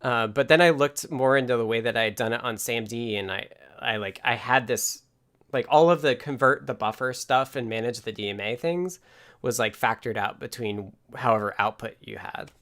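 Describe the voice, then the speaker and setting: A man's voice: medium pitch, moderate pace, fairly bright tone. Casual man hosting a technical stream. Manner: informal, thinking aloud. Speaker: livestream host.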